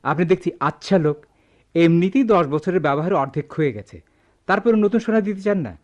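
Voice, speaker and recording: On a dull radio-play recording, a busy male goldsmith shop owner talks in a Bangladeshi accent. His voice sits a little high. He hums, mutters and sounds grudging and impatient with customers. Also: expressive, fairly quick, a little mellow. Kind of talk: radio drama acting.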